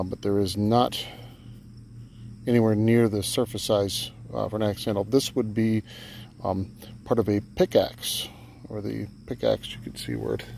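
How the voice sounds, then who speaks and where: A man with a gravelly voice giving a halting, understated casual vlog narration outdoors. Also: fairly low pitch, a little mellow. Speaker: metal detecting vlogger. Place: outdoors on rural farm property. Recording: noticeable outdoor background noise.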